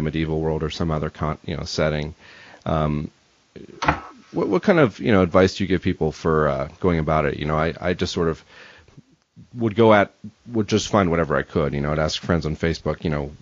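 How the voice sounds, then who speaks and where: A man's voice: deep voice, no echo. A man hosting a podcast interview in casual, informal and somewhat hesitant phrasing. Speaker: podcast host. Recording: dry, slightly dull podcast audio.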